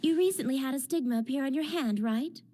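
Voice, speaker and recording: A woman playing a haughty, sharp-tongued teenage girl mage who explains things smugly, in an English anime dub. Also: sits high, fast, natural voice. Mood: smug, explanatory. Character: haughty, brisk teenage girl mage, exasperated know-it-all. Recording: loud music behind dialogue. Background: loud music.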